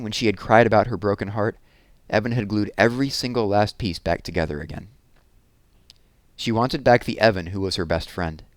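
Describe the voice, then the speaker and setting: A man reading a children's book aloud in an expressive storytelling style, leaning on key words for drama. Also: fairly low pitch, no echo.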